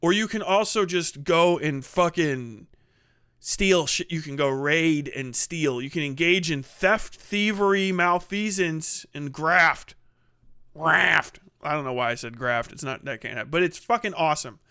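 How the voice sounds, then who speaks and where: A man hosting a livestream in casual, rambling, sweary chat, with an animated way of talking into a close mic. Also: fairly high pitch, measured pace, clean tone, dry recording. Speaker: livestream host.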